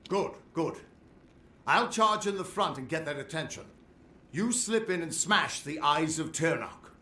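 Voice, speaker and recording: Lively, hearty veteran adventurer. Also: male voice, fairly high pitch, unhurried, reverberant. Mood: determined. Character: boastful, loyal veteran adventurer seeking renewed glory. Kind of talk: fantasy game dialogue acting.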